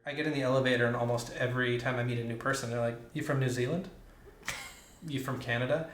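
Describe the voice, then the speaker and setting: A man's voice: mid-range voice, fairly even intonation, fast talker, a bit bright, echoey room, a little hiss or hum. A man casually telling a funny story about a language mix-up, laughing as he goes. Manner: laughs while telling stories.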